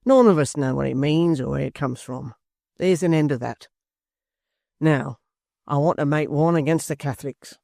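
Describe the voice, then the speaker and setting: A rough, blunt young brawler who barrels straight to the point, voiced by a male narrator. His voice comes up louder and higher than the narration, with a gravelly grain. The recording is close and dry. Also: moderate pace, no room sound.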